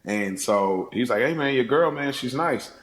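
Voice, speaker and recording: A man casually discussing a film, retelling things in an animated way with acted-out quotes and frequent chuckles. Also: mid-range voice, moderate pace. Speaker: podcast panellist. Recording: echoey room.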